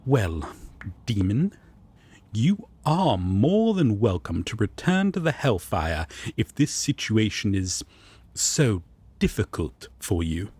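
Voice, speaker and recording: Man acting a prim angel, wildly swooping pitch. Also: very animated, takes it slowly, a little mellow, slightly rough, no room sound, exaggerated voice. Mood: haughty. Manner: formal, polite, haughty toward his rival. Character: prim, devoted male angel roommate, easily indignant. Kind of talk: audio roleplay acting.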